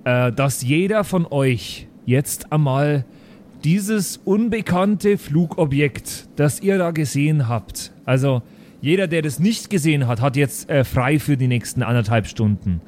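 A man playing a fussy, sceptical maths teacher who speaks haltingly and deliberately in an improvised tabletop audio play. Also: on the high side, animated, a little mellow, a little hiss or hum. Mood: instructing.

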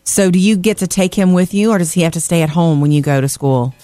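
A woman's voice: lowish voice, fast talker, warm tone, no echo, soft background music. Gushing woman radio host. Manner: enthusiastic, gushing, chatty. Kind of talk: morning radio chat and entertainment news.

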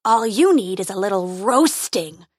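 Big slow growly dragon guy, menacing, dry close sound, woman putting it on. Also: medium pitch, breathy edge, dry recording.

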